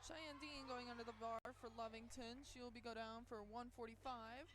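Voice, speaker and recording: A woman announcing a school powerlifting meet live, calling lifts in a halting way with pauses and fillers, her voice strained from yelling the day before. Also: a little high, steady tone, noisy background.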